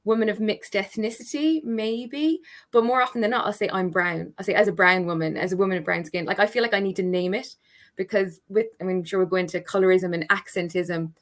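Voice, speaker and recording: A woman with an Irish accent, a guest on a panel chat over a video call, speaking in a reflective, measured way. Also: medium pitch. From Ireland.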